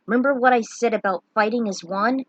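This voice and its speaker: A woman's voice: a little high, a little fast, dry recording, dull audio, natural voice. A woman voicing a brave young male knight who leads his friends, speaking in an emphatic, commanding and urgent way. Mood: instructing.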